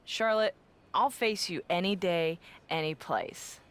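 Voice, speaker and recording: A woman's voice: lowish voice, slow pace, clean tone. A woman playing a scheming, domineering wrestling faction leader in a video game cutscene, with a confrontational, self-important manner. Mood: defiant, smug.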